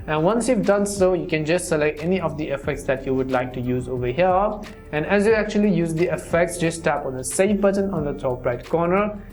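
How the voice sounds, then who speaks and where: A tutorial host giving a scripted, wordy, step-by-step how-to narration. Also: medium pitch. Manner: wordy step-by-step instructional delivery. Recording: music behind the voice. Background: background music.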